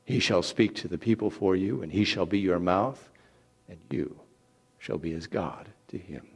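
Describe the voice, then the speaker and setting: A man voicing a solemn, commanding male God who speaks with deliberate authority in a dramatic scripture reading. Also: sits low, vocal fry, slightly rough, exaggerated voice. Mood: angered, firm.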